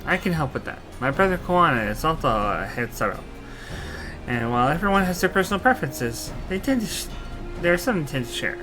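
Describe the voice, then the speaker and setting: A man's voice: a little high, very animated, brisk, poor audio. A man voicing a bold upbeat young woman warrior. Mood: helpful. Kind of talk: fan voicing of game dialogue. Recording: game music behind.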